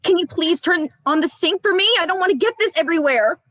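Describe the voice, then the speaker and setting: A woman's voice: very high voice, fast talker, a bit bright, no room sound, clean studio sound. A woman playing a frightened, pleading young woman, a victim in a horror play reading. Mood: uneasy. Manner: pleading, tearful outbursts. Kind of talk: dramatic livestream script reading.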